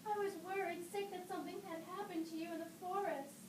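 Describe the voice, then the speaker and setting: Lady doing a kindly old storybook granny, really high fluttery voice, echoey and noisy. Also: steady tone, a little fast. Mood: fussing. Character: kindly, fussing old grandmother in a fairy tale. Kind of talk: puppet show storytelling. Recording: distant roomy recording with clear noise.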